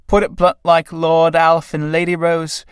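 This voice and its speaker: Reader playing a blunt tramp, gruff, grumbling, coarse, close and dry. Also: mid-range voice, monotone, measured pace, no room sound, natural voice. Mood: mocking. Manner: gruff, blunt, dialect-heavy.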